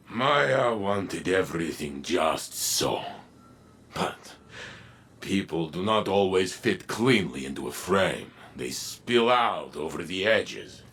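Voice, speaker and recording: A man voicing a proud, theatrical robot in a video game, speaking in a grand, deliberate, philosophical manner. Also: extremely low pitch, fairly bright tone, slightly rough, heavily acted.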